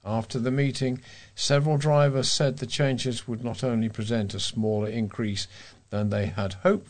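A British man reading local news items aloud as a volunteer newsreader. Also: medium pitch.

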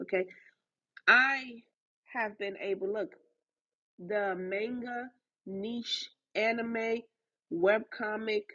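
A woman with a mix of Haitian and Southern US accent talks straight at her listeners in an emphatic, motivational podcast style. Her voice is a little husky and sits at an ordinary pitch. She drives her points home by repeating them. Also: fairly bright tone, dull audio. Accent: Haitian and Southern US blend. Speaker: podcast host. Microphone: recorded through a voice chat app.

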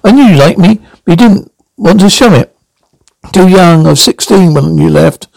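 A man reading the lines of a frank young woman from a small town, talking in quick rushes and restarting words. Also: high-pitched, expressive, vocal fry, a little mellow, no echo, exaggerated voice, rough recording.

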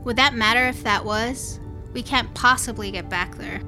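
A defiant, cynical young woman. Her voice is high and forceful, and she delivers everything with combative sarcasm in an audio drama. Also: normal speed, soft background music. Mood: scornful. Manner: sardonic, combative.

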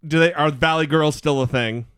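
A man's voice: a little high, normal speed, fairly bright tone, smooth, no room sound, studio quality. A man co-hosting a podcast in casual political chat, with a goofy voice and a playful, sarcastic, teasing manner. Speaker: podcast co-host.

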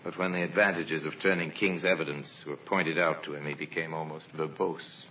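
Very deep-voiced grave crime narrator. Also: male voice, steady tone. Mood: grave, measured. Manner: deliberate, portentous storytelling. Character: grave, measured male narrator of true crime tales. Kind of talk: 1950s radio crime drama narration. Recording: muffled, noisy old radio recording.

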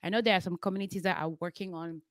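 A woman hosting a podcast, chatting casually and telling stories in an animated way. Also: lowish voice, racing, no room sound, clean studio sound. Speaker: podcast host.

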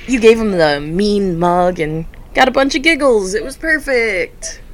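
A woman's voice: medium pitch, animated, unhurried, slight background noise, close to an everyday voice. An actress playing an eager, friendly, newly promoted young woman coordinator in an audio drama. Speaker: foundation coordinator.

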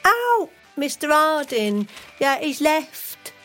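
An actress playing a blunt, offhand office receptionist with casual working-class speech in an audio drama. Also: female voice, high-pitched, very animated, slow pace, pushed and bright, faint music behind, exaggerated voice. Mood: bored, offhand. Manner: offhand, blunt, drawling, ungrammatical.

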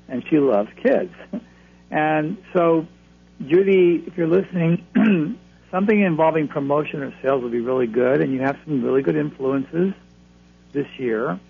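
Male astrologer guest, reassuring, gravelly, phone-line sound. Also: on the high side, a little slow. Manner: reassuring, advisory. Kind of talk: call-in radio readings. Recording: phone line, faint hum.

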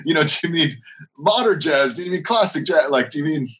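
A man's voice: on the high side, animated, a little fast, a bit bright. Rambling man, worship leader. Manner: rambling, many restarts and rhetorical questions. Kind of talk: casual interview talk.

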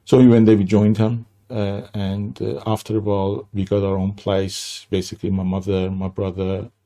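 Gravelly older man with Persian accent. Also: lowish voice, flat delivery, warm tone. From Iran. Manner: halting, many restarts and fillers. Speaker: guest. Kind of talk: personal storytelling interview.

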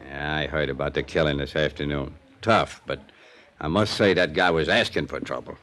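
Streetwise bartender, very deep voice, tough clipped talk, vintage radio play. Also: male voice, steady tone, moderate pace, a bit bright. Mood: defensive. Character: wary male hotel bartender, defensive, streetwise. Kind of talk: 1950s radio drama acting. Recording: old radio broadcast with music.

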